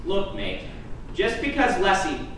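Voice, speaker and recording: A man's voice: sits high, huge pitch swings, measured pace, a crowd in the background, heavily acted. A man voicing a cheeky, irreverent sidekick with slangy, teasing talk in a live story reading. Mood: placating. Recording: echoey room, far mic, noisy.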